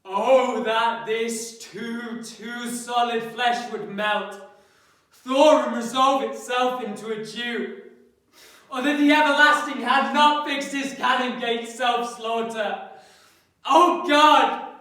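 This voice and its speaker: A man's voice: extremely high pitch, steady tone, slow pace, pushed and bright, voice pushed up, full character voice. A man acting an anguished, bitter young prince in a classical Shakespearean soliloquy, declamatory and impassioned. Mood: anguished, despairing. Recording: very echoey room, slightly distant mic.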